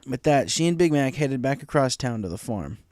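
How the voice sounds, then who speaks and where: A man's voice: mid-range voice, very animated, fairly quick, a little mellow, no room sound. A man reading scripted story narration as the narrator of a fan tale.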